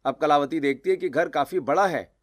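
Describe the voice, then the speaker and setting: A man's voice: fairly high pitch, normal speed, slight background noise. A man narrating a scripted moral story in a storyteller's role.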